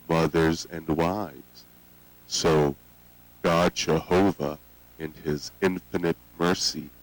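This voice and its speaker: Man playing a very deep, solemn old grandfather. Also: no room sound, low-quality recording. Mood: solemn, explanatory. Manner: solemn, deliberate, sermonizing. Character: solemn old grandfather, cult patriarch preaching child sacrifice. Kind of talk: slow scripture storytelling in a children's horror tape.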